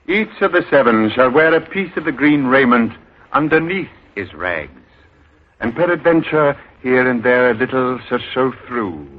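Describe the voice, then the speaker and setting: An actor playing a cunning beggar leader who poses as a god, speaking in a solemn, commanding, declamatory old radio drama style. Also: male voice, mid-range voice, very animated, normal speed. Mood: scheming, assured. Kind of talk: 1940s radio acting. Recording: old muffled radio broadcast with music.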